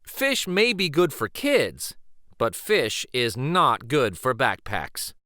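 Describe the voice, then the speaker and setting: A man reading a children's picture book aloud in an expressive, deliberate storytelling style. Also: mid-range voice, no echo, studio quality. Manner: deliberate, animated.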